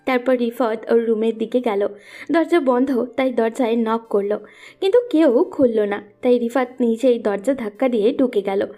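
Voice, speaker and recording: A woman's voice: fairly high pitch, moderate pace, dark tone, faint music behind. A woman narrating a scripted romantic story as the storyteller.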